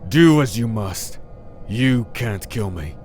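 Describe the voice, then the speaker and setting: A man playing a stubborn, defiant imprisoned crusader in a dark fantasy audio drama. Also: fairly low pitch, animated, slow pace, soft background music. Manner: stubborn, demanding.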